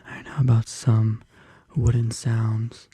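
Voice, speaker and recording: An ASMR host whispering softly and casually in a gentle, reassuring manner, close to the microphone. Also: medium pitch, completely flat delivery, a little slow, slight background noise. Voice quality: breathy whisper. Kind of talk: soft-spoken whispered ASMR. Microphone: close studio microphone.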